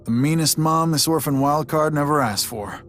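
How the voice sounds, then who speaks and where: A man's voice: on the high side, normal speed, natural voice. A man playing a reckless, irreverent young orphan ranger in a video game, with a wry, sardonic manner. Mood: wry narration. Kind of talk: video game voice acting with narration. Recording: loud game music over voice.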